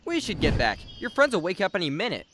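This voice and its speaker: An excitable young male dragon, acted by a man, with hugely swooping intonation. He is breezy and friendly like an easygoing big brother in a fantasy dub, with faint noise behind him. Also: sits high, fast talker. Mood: relaxed. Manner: breezy, enthusiastic. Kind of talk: dubbed fantasy drama acting.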